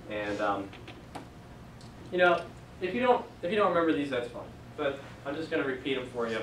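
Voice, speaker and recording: A young man teaching a Greek class in a casual, conversational way, recorded by a far camera in a church meeting room. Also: medium pitch. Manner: casual, conversational, many fillers. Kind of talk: classroom lecture. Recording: echoey room from far off, noisy.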